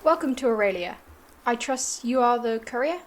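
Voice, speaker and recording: A woman's voice: fairly high pitch, lively intonation, normal speed, lightly acted. A woman playing a gracious but harried colony administrator in a science-fiction audio drama, formal and courteous.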